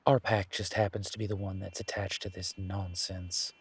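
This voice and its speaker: A man playing a laid-back, wry werewolf in an intimate one-sided audio roleplay, talking in a casual, folksy, teasing way with a husky voice. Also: deep voice, flat delivery, normal speed, full character voice. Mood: gossipy, wry.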